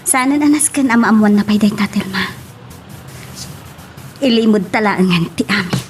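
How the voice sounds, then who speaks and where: A woman's voice: medium pitch, lively intonation, moderate pace, close to an everyday voice. An actress playing an earnest, emotional young woman caught up in romance in an Ilocano radio love drama. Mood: remorseful. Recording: music behind the voice.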